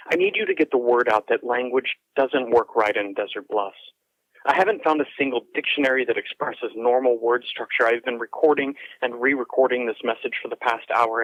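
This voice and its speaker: An actor playing an anxious, apologetic researcher leaving an urgent voicemail in an audio drama, heard through a phone filter. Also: male voice, mid-range voice, a bit bright, no room sound. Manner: apologetic, hesitant, earnest. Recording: dull, dry telephone message sound.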